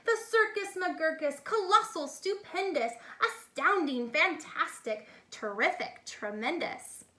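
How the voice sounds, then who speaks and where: An eager storybook boy acted out by a woman barks his circus attractions like a proud showman. The delivery is bright, singsong and unhurried, in an echoey room. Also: high-pitched, lively intonation, heavily acted. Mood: grand, boastful. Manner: grandiose showman's barking, singsong rhymes. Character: young boy dreamer, boastful eager circus ringmaster. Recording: echoey, reverberant room sound.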